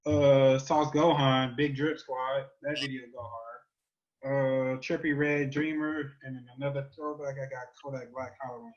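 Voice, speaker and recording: A man's voice: medium pitch, steady tone, measured pace, lo-fi sound. An American man co-hosting in a casual, playful chat, slangy and freely profane. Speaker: podcast co-host.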